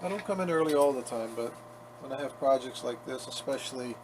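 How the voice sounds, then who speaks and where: A man's voice: medium pitch, brisk. A man talking casually as he works on a leather restoration, chatty and self-mocking. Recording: workshop noise behind the voice.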